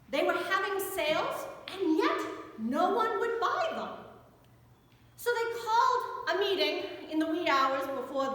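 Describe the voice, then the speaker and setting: A middle-aged American woman, a pastor, giving a storytelling sermon in an animated, wry manner inside a church. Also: very high-pitched. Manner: animated, wry storyteller who acts out dialogue. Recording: echoey church hall with long reverb.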